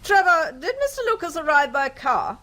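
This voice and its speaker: A woman's voice: high voice, sing-song, normal speed, bright, forceful tone, exaggerated voice. A woman acting a haughty, menacing wealthy lady in a radio drama, cool, formal and condescending. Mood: coldly formal.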